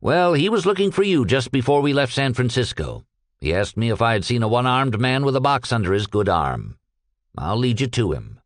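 Gruff storybook brakeman male narrator in role: indignant, grudging, blunt trainman with lively intonation. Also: medium pitch, normal speed, dry recording, studio quality, close to an everyday voice. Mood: grudging helpful. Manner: angry, grudging. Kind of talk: audiobook character dialogue.

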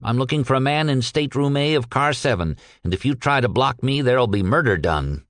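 A man's voice: medium pitch, lively intonation, a little fast, fairly bright tone, no room sound, studio quality. A man voicing a dogged, weary errand man in an audiobook, earnest and respectful, pleading his case with polite insistence. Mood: firm, defiant. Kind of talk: audiobook character dialogue.

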